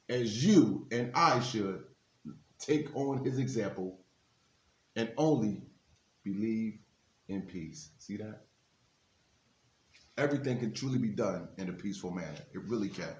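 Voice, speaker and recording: Echoey man reading to children. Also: medium pitch. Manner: encouraging, addresses young listeners directly with informal asides. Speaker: guest reader at a children's book reading for a basketball team's literacy program. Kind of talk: reading a children's book aloud with chatty asides to kids. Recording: echoey, reverberant room.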